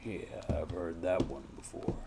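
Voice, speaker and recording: A man's voice: sits low, fairly even intonation, unhurried, noisy background. A man playing a world-weary, brooding private detective in a noir audio drama, narrating in florid, rambling, repetitive phrases. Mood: wry, flirtatious.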